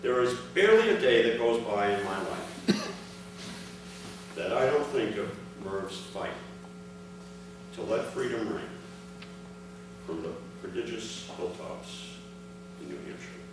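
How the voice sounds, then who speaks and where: An older man reading a prepared speech aloud to a gathering in measured, separate phrases. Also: on the low side, big pitch swings. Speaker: speaker reading his father's account at an award ceremony. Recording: echoey, noisy hall recording from far off.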